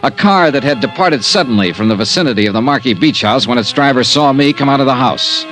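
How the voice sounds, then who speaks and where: An actor playing a dogged insurance investigator in an old-time radio drama, American, assertive and wry. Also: male voice, medium pitch, animated, fast talker, fairly bright tone, faint music behind, lightly acted. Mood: dry narration. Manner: assertive, wry, hard-boiled. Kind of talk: old-time radio detective acting and first-person narration.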